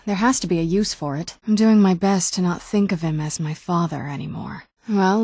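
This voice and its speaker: A woman's voice: lowish voice, darkish tone, no room sound. A woman playing a guarded, wry young-looking vampire noblewoman in a video game, dry and understated. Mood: wry, matter-of-fact. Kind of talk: video game character dialogue.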